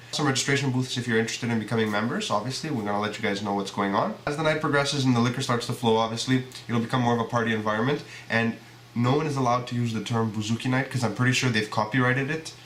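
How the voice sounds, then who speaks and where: Guy hosting a show, talks really fast and jokey, echoey room. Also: medium pitch, fairly bright tone. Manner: informal, jokey. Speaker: show host. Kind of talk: casual, joking event promotion to viewers. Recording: echoey room with faint ambient noise.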